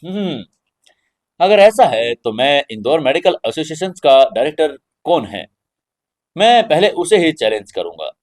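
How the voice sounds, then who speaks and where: Audio story hero, man narrator acting: confident challenger, slightly high voice, proud, taunting. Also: very animated, measured pace. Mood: calm confidence. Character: confident, cocky male fighter-healer challenger.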